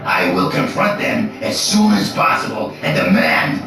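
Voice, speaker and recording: A man's voice: fairly high pitch, wildly swooping pitch, measured pace. An actor playing a scheming, self-important male character in a cartoonish video game cutscene. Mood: calculating, indignant. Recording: echoey, distant game audio with music.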